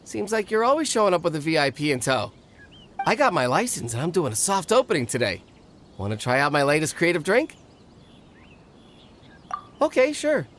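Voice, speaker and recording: A breezy drink stall owner. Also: female voice, sits low, big pitch swings, moderate pace, long pauses, slight background noise. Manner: casual, chatty.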